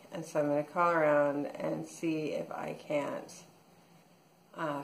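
A woman's voice: deep voice, creaky, a little hiss or hum. Easygoing woman vlogging in an echoey room. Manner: rambling, many fillers and restarts. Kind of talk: casual daily video diary. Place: small room at home, indoors.

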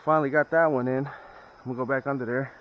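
A man's voice: mid-range voice, steady tone, quick pace. A man chatting casually to viewers as a fishing vlogger, recorded outdoors on a body-worn action camera. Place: outdoors at a creek and under a bridge. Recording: dull action-camera sound, noticeable outdoor noise.

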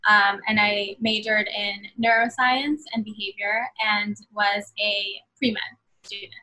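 A woman's voice: medium pitch, steady tone, slow pace, bright, forceful tone, rough recording. A woman in her twenties, born in Bangladesh and raised in New York City, talking earnestly as a guest over a video call, with many fillers. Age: 20s. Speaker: guest, medical student. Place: small room at home. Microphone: video call webcam.

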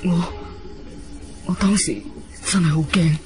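Nervous young man, radio drama, slightly high pitch, slow, halting, apologetic. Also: steady tone, slight background noise. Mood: pleading, scared. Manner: hesitant, halting, pleading. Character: pale, frightened young man in his early twenties, guilty and apologetic. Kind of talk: Cantonese radio drama acting.

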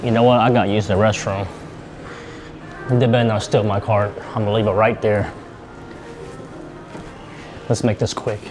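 A man chatting casually to his viewers as he shops in a grocery store, thinking out loud and repeating himself. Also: lowish voice, flat delivery, normal speed, long pauses, over music. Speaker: vlogger. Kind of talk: casual vlog chat.

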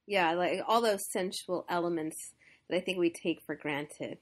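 A woman's voice: fairly low pitch, moderate pace, a loud crowd. A woman hosting an interview podcast, affirming her guest often and laughing easily. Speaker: podcast host. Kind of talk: casual interview.

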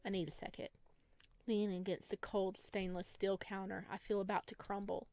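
A woman's voice: mid-range voice, little pitch movement, normal speed, a little mellow, dry recording. A woman streamer reading a visual novel aloud expressively and dramatically, adding her own commentary, close to the microphone.

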